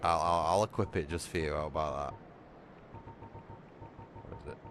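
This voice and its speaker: A man's voice: very deep voice, moderate pace, music in the background. A man with a Manchester accent chatting bluntly and swearing while streaming a game. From England. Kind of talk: casual livestream gaming chat.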